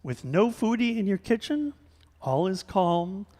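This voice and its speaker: Radio-play ad spokesman, male actor: high-pitched, swooping, earnest salesman delivery, hall reverb. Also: big pitch swings, unhurried, warm tone, heavily acted. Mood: salesy, assured. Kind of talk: scripted parody ad read, live radio theater. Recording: reverberant hall stage recording.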